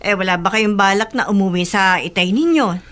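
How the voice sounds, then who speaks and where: An actress as a caring Filipino aunt. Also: female voice, medium pitch, a little fast, a bit bright, close to an everyday voice. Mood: gently nagging. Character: caring middle-aged aunt, gently reproving. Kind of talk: Filipino radio drama acting.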